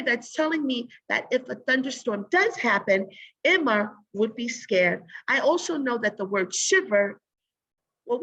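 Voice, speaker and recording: A woman teacher giving a read-aloud lesson in an instructive way, pausing to think aloud and pose guiding questions. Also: sits high, a bit bright, dull audio.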